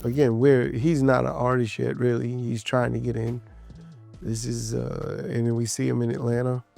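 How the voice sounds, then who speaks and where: A man's voice: mid-range voice, little pitch movement, moderate pace, gravelly, darkish tone, soft background music. A man casually recounting a past fight in an interview, emphatic and loose, acting out what people said. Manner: loose, emphatic, quotes people in his story.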